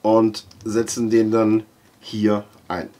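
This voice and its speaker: A middle-aged man with a husky voice, giving a casual, halting product demonstration as he handles the parts. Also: on the low side, monotone, mellow. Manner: halting, thinking aloud while handling parts. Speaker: aquarium product reviewer. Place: indoor room with large aquarium.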